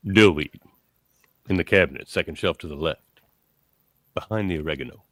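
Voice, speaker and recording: A man playing a brisk, commanding older starship captain in an amateur radio play, with theatrical emphasis. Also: rumbling low, a bit bright, no room sound. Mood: reflective, offhand.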